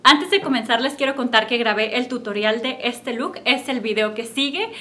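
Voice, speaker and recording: Young woman, Mexican accent, chatty beauty vlog, slightly high voice, home room. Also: sharp tone. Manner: chatty and upbeat, friendly direct address. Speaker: beauty vlogger. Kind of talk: casual makeup haul talk to camera. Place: bright room indoors at home.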